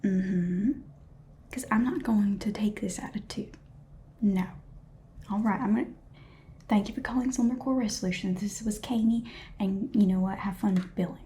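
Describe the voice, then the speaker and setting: A woman voicing a sassy, chatty Southern saleswoman in a whispered ASMR roleplay, with soft keyboard typing behind her. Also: medium pitch, normal speed, pauses often, a little hiss or hum, lightly acted. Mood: curt.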